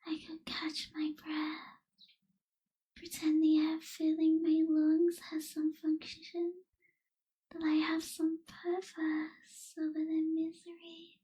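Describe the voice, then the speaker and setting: Casting: actress for melancholy young female vampire, ASMR roleplay; very high, mellow, breathy whisper, wistful and hushed. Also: completely flat delivery, low-quality recording. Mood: melancholy, reflective. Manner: slow, hushed, wistful, gentle pauses. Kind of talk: ASMR roleplay, soft whispered intimate delivery.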